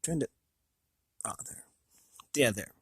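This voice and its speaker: An American man hosting a casual, unscripted review, thinking aloud in a halting, rambling way with a slightly raspy voice. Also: fairly bright tone, no room sound, studio quality. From United States. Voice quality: slightly raspy from long talking. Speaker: video host.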